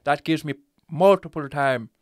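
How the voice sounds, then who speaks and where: A man's voice: on the high side, normal speed, no echo, studio quality. A man co-hosting a podcast in casual chat, playful and teasing, stretching words out for emphasis. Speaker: podcast co-host.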